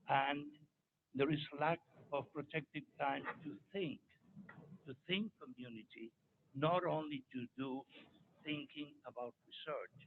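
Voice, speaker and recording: A man in an audience asking a question in a halting, deliberate way with formal wording. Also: medium pitch, creaky. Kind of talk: spoken question at a conference. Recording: muffled recording with faint room noise.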